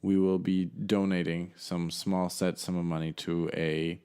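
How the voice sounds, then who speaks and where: A man co-hosting a podcast, talking in a measured, explaining way and asking follow-up questions. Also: low-pitched, little pitch movement, mellow, smooth. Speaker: podcast co-host. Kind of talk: casual chat.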